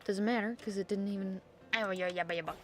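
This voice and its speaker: A woman's voice: mid-range voice, fairly even intonation, fast. Woman muttering through casual gameplay, music behind. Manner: muttering to self in short reactive remarks. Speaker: game streamer. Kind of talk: casual gameplay commentary, thinking aloud. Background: video game music.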